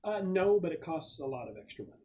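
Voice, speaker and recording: A man running a tabletop role-playing game, explaining things in an improvised, halting way. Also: on the high side, big pitch swings, dark tone. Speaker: game master. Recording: clearly noisy home recording.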